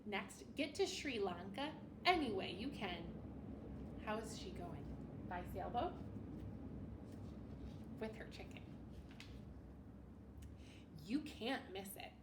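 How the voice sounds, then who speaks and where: A woman reads a children's picture book aloud like a schoolteacher, at an unhurried pace with big swoops in pitch. She is expressive and breaks off to put questions to her young listeners. The sound is roomy, as if the microphone sits some way off. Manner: animated, expressive, pausing to ask the listeners questions. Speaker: elementary school teacher. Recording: roomy, distant mic with faint noise.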